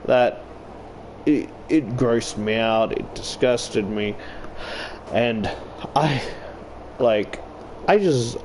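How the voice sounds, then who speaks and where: Rambling man with slow, halting delivery. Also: mid-range voice. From Australia. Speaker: YouTuber playing and reading a visual novel. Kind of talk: casual game commentary. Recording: clear steady air-conditioner background noise.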